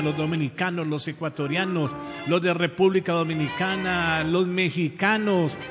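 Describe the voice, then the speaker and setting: Earnest Colombian radio host signing off. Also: male voice, a little high. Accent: Colombian, Pereira. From Pereira, Colombia. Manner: earnest, heartfelt, religious blessings. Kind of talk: radio show sign-off. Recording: muffled radio audio with long reverb and music bed.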